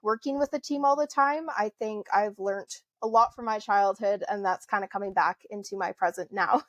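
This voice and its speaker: A woman's voice: mid-range voice, normal speed, fairly bright tone, no room sound, muffled recording. Friendly young Canadian woman, dry sound. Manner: friendly, chatty, frequent fillers and light laughs. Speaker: podcast guest. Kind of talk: casual interview conversation.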